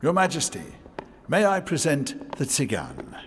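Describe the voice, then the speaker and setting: An actor playing a sly, wry royal advisor who narrates a fantasy audio drama in a measured, courtly, sardonic way. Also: male voice, fairly high pitch, wildly swooping pitch, a little hiss or hum. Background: music.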